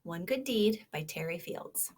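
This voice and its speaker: A woman's voice: on the low side, unhurried, slightly rough. Woman reading a children's story aloud. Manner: expressive, prompting, addresses young listeners. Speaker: storybook reader. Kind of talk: read-aloud children's story with questions to listeners.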